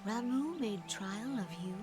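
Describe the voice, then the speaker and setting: Solemn, high-voiced young female forest leader. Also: lively intonation, over music. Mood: grave concern. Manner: formal, measured, earnest. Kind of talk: scripted fantasy game dialogue, archaic formal speech.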